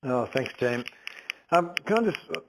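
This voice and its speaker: A man's voice: medium pitch, lively intonation, moderate pace, background noise. A man speaking as a financial analyst on an earnings call, asking probing questions informally and rephrasing as he goes.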